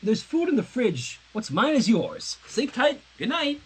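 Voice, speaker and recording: A man's voice: extremely high pitch, very animated, measured pace, a little hiss or hum, voice pushed up, full character voice. A man voicing an awkward, evasive teenage girl in a story, hesitant and nervously casual.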